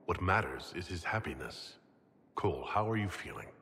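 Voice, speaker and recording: Very deep, commanding warlord. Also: male voice, sing-song, moderate pace, gravelly, pushed and bright, in a large hall, slight background noise, heavily acted. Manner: authoritative, decisive. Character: commanding, stern horned warrior leader.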